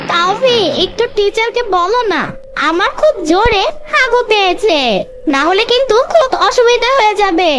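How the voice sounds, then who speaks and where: A performer voicing a cheeky young schoolboy in a cartoon, pert and quick to talk back. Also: extremely high pitch. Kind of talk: cartoon dialogue.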